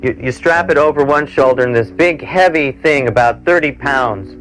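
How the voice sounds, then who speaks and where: A man in his forties speaks as a show host in a studio, with music behind him. His talk is informal and reflective, wandering along with halting turns at a middle pitch. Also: fairly bright tone, rough recording. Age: 40s. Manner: rambling, musing, halting delivery. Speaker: cable TV show host and producer. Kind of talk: informal interview talk and reminiscence. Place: small equipment-packed studio. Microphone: clip-on mic.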